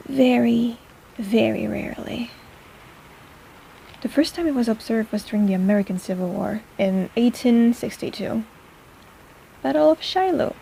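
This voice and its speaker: Woman playing a high-voiced, snarky fantasy apothecary. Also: creaky, mellow, slight background noise, poor audio. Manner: chatty, teasing, casual, with sighs and murmurs. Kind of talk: intimate personal-attention audio roleplay.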